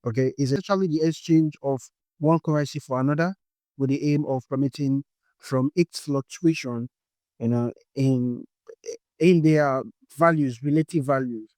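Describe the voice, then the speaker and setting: Nigerian-accented male instructor, mid pitch, patient step-by-step delivery, dry close sound. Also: a little mellow, no echo. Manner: explains step by step, tags lines with "okay?". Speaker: forex trading instructor. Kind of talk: explanatory course lesson.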